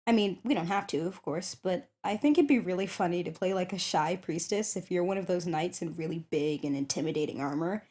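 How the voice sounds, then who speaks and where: Woman doing an eager kinda shy popular high school girl, soft mellow voice, chatty asmr roleplay, thinks out loud. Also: a little fast, few pauses, close to an everyday voice. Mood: playful, eager. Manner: chatty, hesitant, thinking aloud. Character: shy, eager popular schoolgirl curious about tabletop games. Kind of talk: ASMR roleplay, one-sided conversation.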